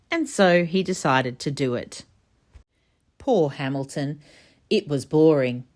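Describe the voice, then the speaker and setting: A woman with a low voice narrates a children's storybook. She is expressive and emphatic, with highly animated intonation that rises and falls throughout. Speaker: storybook reader. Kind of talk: children's read-aloud narration.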